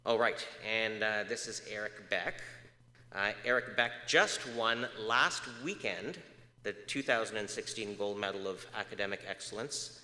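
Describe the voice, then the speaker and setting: Guy giving a talk in a big roomy hall, bright voice, lots of uhs, asks the crowd stuff. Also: fairly low pitch, measured pace. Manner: lively, asks audience questions, many uh fillers. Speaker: research speaker. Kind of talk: public lecture to an audience. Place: large echoey lecture hall. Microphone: moves off mic at times. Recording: echoey hall reverb.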